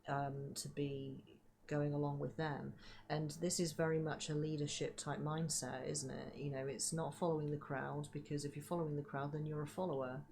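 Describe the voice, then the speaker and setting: A woman giving a casual tarot reading, chatty with many fillers, her voice a little hoarse as she loses it. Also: sits low, a little mellow, a little hiss or hum. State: losing her voice. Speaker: tarot reader.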